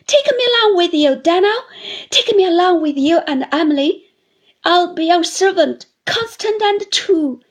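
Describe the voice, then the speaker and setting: A woman's voice: lively intonation, very slow, few pauses, a little mellow, heavily acted. A woman doing a sobbing, clinging old woman. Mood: tearful pleading. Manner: high wailing pleas, breaking into sobs. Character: clinging, tearful older woman pleading desperately.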